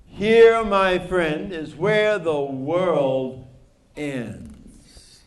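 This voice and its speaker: A man's voice: a little high, lively intonation, extremely slow. A man voicing a confident camel in a children's storybook, speaking in self-assured declarations. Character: confident camel offering a cat a ride.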